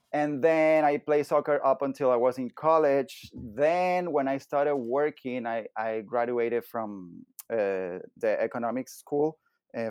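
A man's voice: medium pitch, clean tone, no room sound. Venezuelan guest in his thirties, loose storyteller, stumbles and repeats, dry mic. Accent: Venezuelan Spanish. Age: 30s. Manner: rambling, repeats words, warm laughter. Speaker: guest, personal trainer. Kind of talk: casual interview chat.

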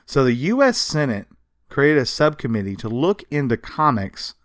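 A man co-hosting a casual, comedic history podcast, telling stories from notes in an animated way with casual swearing. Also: mid-range voice, normal speed, no echo, clean studio sound. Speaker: podcast co-host.

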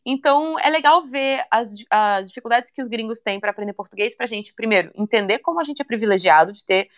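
A young woman chatting casually in an interview, relaxed and talkative, often ending phrases with little tag questions. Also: a little high, brisk. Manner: chatty, relaxed, many fillers like "né" and "sabe".

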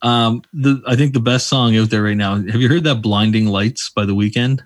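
A man's voice: medium pitch, moderate pace, dry recording. Thirties male podcast co-host, upbeat and teasing, dry close mic. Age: 30s. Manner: enthusiastic, playful. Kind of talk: casual chat.

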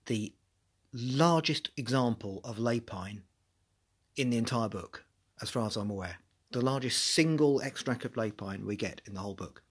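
A man's voice: mid-range voice, fairly bright tone, slightly rough. An English man hosting a podcast, talking through a book chapter in a chatty, musing way with many restarts. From England. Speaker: podcast host.

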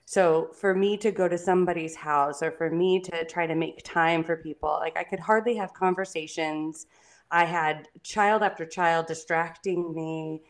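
A woman guest and licensed clinical social worker, with a deep booming voice, talking candidly and bluntly. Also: fairly even intonation, normal speed. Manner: candid, laughs loudly, blunt. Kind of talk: casual podcast conversation.

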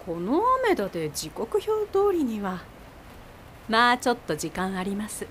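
An actress in a radio drama playing a brisk, kindly, bossy island innkeeper with a Mikawa accent from Aichi. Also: female voice, medium pitch, wildly swooping pitch, fairly bright tone, slight background noise. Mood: helpful. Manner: brisk, homely, nudging. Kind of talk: Japanese radio drama acting.

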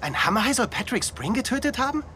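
A man's voice: extremely high pitch, big pitch swings, fast talker, pushed and bright, slight background noise, exaggerated voice. An actor playing a reluctant, exasperated young man, defensive in manner, in a dubbed mystery drama.

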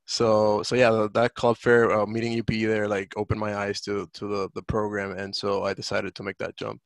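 A young man from the Dominican Republic answers casually as a student panel guest, with lots of "um" and "like". His low voice has a gravelly fry. The sound is dry and close. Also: completely flat delivery, a little fast, no echo. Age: young adult. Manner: casual, many fillers like um and like. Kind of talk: online panel Q&A.

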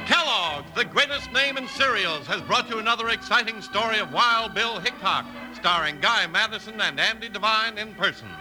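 An American man announcing in a polished, upbeat old-time radio style, delivering a scripted sponsor pitch. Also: lively intonation, normal speed, few pauses, poor audio. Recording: old radio broadcast recording. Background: music.

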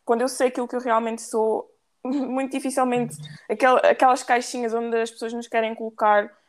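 Higher-voiced woman, European Portuguese accent. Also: level tone, normal speed. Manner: slangy, mixes in English words. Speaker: podcast guest. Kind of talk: casual conversation.

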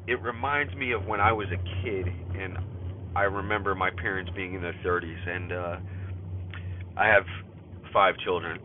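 A man's voice: low voice, steady tone, moderate pace. A man in his thirties with a stuffy cold, hosting a podcast and talking through a casual spoken journal in a rambling way, full of fillers and restarts, while he drives. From California. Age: 30s. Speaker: podcast host. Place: in a car while driving. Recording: phone-like line, faint car noise. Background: car road noise.

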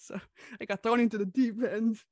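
A woman's voice: fairly high pitch, steady tone, brisk, darkish tone, no echo, clean studio sound. A young woman from Hungary, a comic creator answering interview questions in a chatty way with frequent fillers and laughs.

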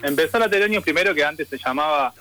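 A man's voice: on the high side, quick pace, poor audio. A young man from Argentina with a Rosario accent, talking casually about his music as an interview guest over a call, with many fillers. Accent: Rosario Argentine Spanish. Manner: relaxed, rambling, lots of fillers. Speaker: saxophonist guest.

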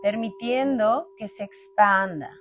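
A woman leading a guided meditation in a calm, unhurried way, speaking in short phrases with long pauses. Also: medium pitch. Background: meditation music with sustained tones.

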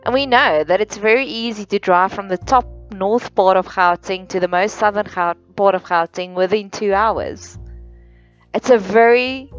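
A woman from South Africa, a native Afrikaans speaker with a South African accent, delivering a careful solo podcast monologue with occasional restarts. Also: mid-range voice. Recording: dull lo-fi sound with faint music.

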